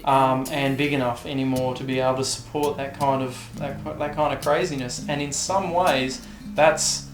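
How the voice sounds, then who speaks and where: A man's voice: medium pitch, fairly even intonation, moderate pace. A man from Tasmania with an Australian accent, talking casually and reflectively as an interviewed skater. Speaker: professional vert skater, interviewee. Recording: roomy echo, guitar music behind. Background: guitar music.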